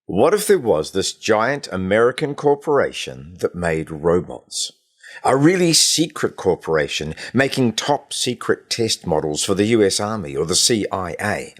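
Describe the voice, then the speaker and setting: Male audiobook narrator, very low register, animated delivery, first-person storytelling for a young readers' novel. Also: normal speed. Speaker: author reading own novel excerpt.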